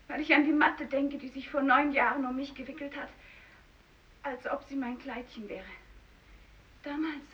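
An actress playing a fearful young fisherman's wife in a German radio drama, speaking slowly in a hushed voice that drops toward whispers. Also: sits high. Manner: slow, hushed, dropping into whispers. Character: fearful young fisherman's wife rejecting her stricken husband. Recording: dull distant recording with hiss.

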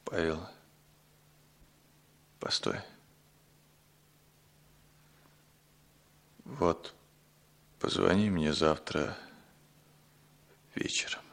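Suspicious younger brother, radio drama, lowish voice, hard forceful edge, blunt questions. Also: male voice, extremely slow, gravelly, pauses often, heavily acted. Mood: restrained. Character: wary, blunt younger brother demanding answers. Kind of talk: 1960s Soviet radio drama acting.

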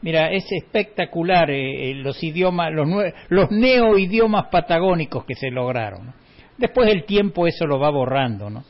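A man's voice: fairly high pitch, expressive, normal speed. A middle-aged man from Córdoba with a slight Cordoban Argentine accent, hosting a radio show and telling wry anecdotes in a casual chat. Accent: Cordoban Argentine Spanish, slight. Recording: dull lo-fi radio recording.

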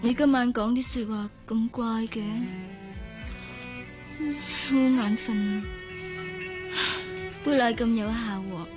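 A young Hong Kong Cantonese fiancée in a radio drama. She sounds girlish, devoted and caring, in a fairly high voice with soft music faintly behind her. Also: fairly even intonation, slow, long pauses. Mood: drowsy.

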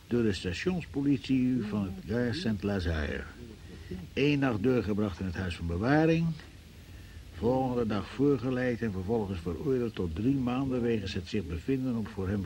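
Stern, animated examining magistrate. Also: male voice, medium pitch. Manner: brusque, sardonic, interrogating. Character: stern, sarcastic male examining magistrate interrogating a witness. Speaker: actor in a radio play. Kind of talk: Dutch-language radio play acting.

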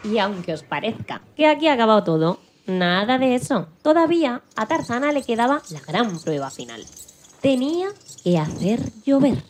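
Very animated woman storyteller. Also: on the high side, moderate pace, slight background noise. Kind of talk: dramatised children's story narration.

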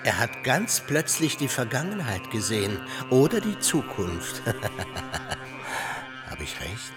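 Insistent eccentric guest, theatrical, very lively intonation, radio play, music behind. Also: male voice, medium pitch, slow pace. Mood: knowing, intrigued. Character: eccentric, insistent male party guest with odd theories.

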